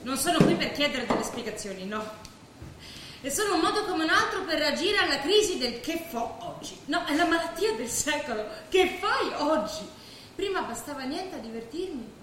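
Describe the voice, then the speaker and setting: A woman's voice: sits high, sing-song, moderate pace, a bit bright, far from the mic. An actress playing a spoiled, scheming rich young woman in an Italian stage play, theatrical, insistent and teasing. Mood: haughty, teasing. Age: young adult. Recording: very echoey, noisy theatre stage recording.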